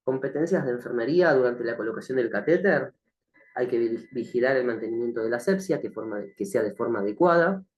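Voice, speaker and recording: A man teaching a recorded nursing class, slightly hoarse from the flu, speaking hesitantly with many fillers and frequent check-ins with the listener. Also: mid-range voice, expressive, brisk, dull audio. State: has a cough from the flu. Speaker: nursing instructor. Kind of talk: recorded online lecture.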